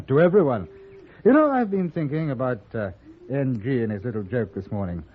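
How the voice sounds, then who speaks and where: An actor playing a naive, eager English earl in a radio western, polite and hesitant, with an upper-class British accent. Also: male voice, mid-range voice, animated, normal speed, breathy edge. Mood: earnest, chastened. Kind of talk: old-time radio western acting. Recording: narrow-band, faintly noisy old radio recording.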